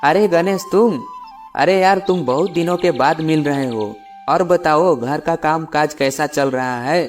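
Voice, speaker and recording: Man putting on a friendly high-pitched villager. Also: unhurried, soft background music, natural voice. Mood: surprised, friendly. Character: friendly, helpful male villager, the farmer's friend. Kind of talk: acted children's moral story.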